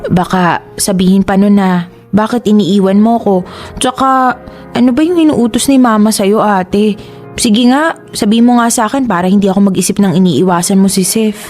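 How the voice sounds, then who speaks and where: A woman's voice: medium pitch, moderate pace, a little mellow, lightly acted. A woman with a Filipino accent playing a young, teasing and sulky youngest sister in a radio drama, nagging and wheedling. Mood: nagging, suspicious. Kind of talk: Tagalog radio drama acting. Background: music.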